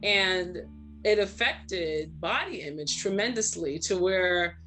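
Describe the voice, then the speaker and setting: A woman's voice: fairly low pitch, expressive, slow, fairly bright tone, faint music behind, rough recording. A woman in her forties from Brooklyn and Trinidad, speaking candidly and conversationally about herself as a panel guest, often checking in with "right?". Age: 40s. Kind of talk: candid personal storytelling in panel discussion.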